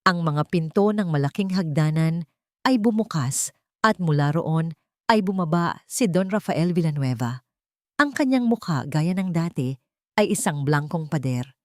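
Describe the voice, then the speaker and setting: A woman narrates a scripted first-person dramatic story in a solemn, measured, emotive way. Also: sits low, lively intonation, dry recording, clean studio sound.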